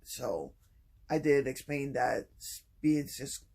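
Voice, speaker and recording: Soft, halting man with a gravelly voice. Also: expressive. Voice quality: low voice. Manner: soft-spoken, halting, many pauses and restarts. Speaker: vlogger. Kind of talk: personal vlog, reflective talk.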